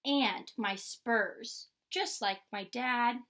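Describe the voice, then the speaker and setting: A young adult woman reading a picture book aloud like a teacher, in a measured, instructional way. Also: fairly high pitch, bright, forceful tone. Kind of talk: picture-book read-aloud with short explanations. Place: indoor room with painted mural wall.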